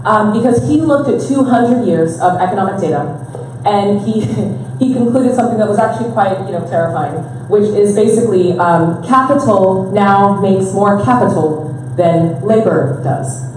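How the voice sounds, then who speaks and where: Woman on a panel, halting with lots of ums, sounds far off in a big echoey room. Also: medium pitch, animated, a little mellow, slight background noise. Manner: thoughtful, hesitant with many fillers. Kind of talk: panel discussion. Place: event venue. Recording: echoey hall, distant mic.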